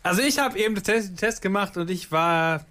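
Casting: male radio host, fairly high voice, loose rambling chat with playful irony. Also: animated, fairly quick, pushed and bright. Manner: playful, ironic. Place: radio studio.

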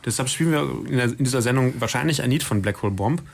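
A man's voice: medium pitch, animated, rapid-fire, a little hiss or hum. A man chatting casually and jokingly as a band member being interviewed in a radio studio. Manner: joking, tongue-in-cheek.

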